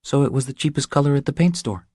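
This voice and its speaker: A man voicing a weary, determined but frightened young mother with an earnest, hushed delivery, in an audiobook. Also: medium pitch, quick pace, husky, dry recording, clean studio sound, voice pushed up, natural voice. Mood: bitter.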